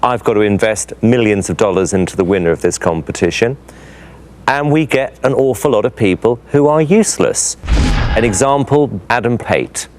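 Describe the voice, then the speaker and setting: Blunt man judging auditions, low lively voice. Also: normal speed, slight background noise. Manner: blunt, dry and matter-of-fact. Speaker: talent show judge.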